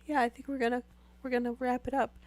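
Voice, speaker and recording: A woman's voice: fairly high pitch, flat delivery, quick pace, darkish tone, no room sound. A young woman chatting casually with live viewers while losing her voice to a sore throat, rambling with many fillers. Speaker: streamer.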